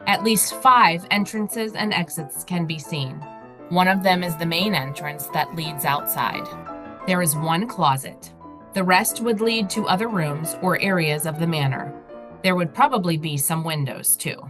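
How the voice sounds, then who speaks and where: Woman reading stage directions for an audio play, kinda low voice, wry, music playing behind her. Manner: wry, tongue-in-cheek. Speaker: narrator. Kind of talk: scripted narration for an audio play.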